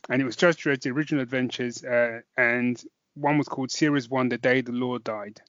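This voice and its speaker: A British man in middle age talks as a podcast co-host, informal and inclined to ramble. His pitch is mid-range. The sound is dry and close, with a slightly dull top end. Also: fairly even intonation, brisk, fairly bright tone, no echo. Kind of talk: casual chat podcast review.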